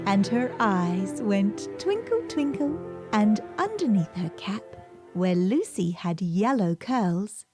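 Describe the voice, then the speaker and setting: A woman narrating a scripted children's story as a storybook reader, with gentle music behind her. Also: mid-range voice. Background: gentle background music.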